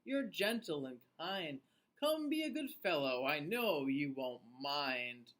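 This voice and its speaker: A man acts out a carefree lady bird from a storybook. She coaxes and pleads in a breezy way, drawing words out slowly with very animated pitch. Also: exaggerated voice. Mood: coaxing. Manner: coaxing, breezy. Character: Maisie, coaxing, carefree lazy female bird. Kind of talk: children's storybook read-aloud.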